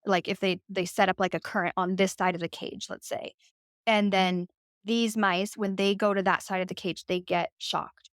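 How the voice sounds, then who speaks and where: A woman's voice: medium pitch, flat delivery, normal speed, dry recording, studio quality. A woman speaking as a podcast guest, talking in a reflective way with lots of "like" and "right?". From United States. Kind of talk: conversational interview.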